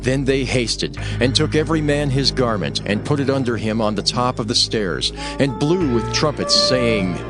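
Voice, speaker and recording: A man's voice: mid-range voice, lively intonation, moderate pace, hardly any pauses. A man narrating scripture as a solemn storyteller in a dramatized reading. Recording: music under the voice.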